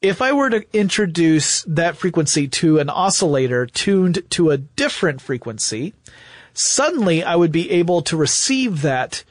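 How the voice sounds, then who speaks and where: Male podcast host, lighter higher register, keen conversational explainer, dry studio sound. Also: very animated, normal speed, no echo. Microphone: close studio microphone.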